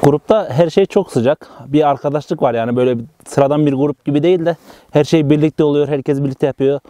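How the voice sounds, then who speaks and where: A man's voice: fairly high pitch, fairly quick, mellow, dry recording. A man talking casually in an interview as a member of a camping group. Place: outdoors at a campsite.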